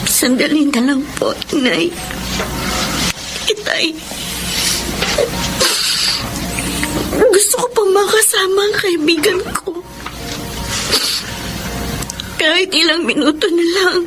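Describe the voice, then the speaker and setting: A high-voiced young woman in a radio drama. She is a devoted, expressive friend who speaks with open emotion. Music plays clearly behind her. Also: measured pace, rough recording. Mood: mournful. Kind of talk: Filipino radio drama acting.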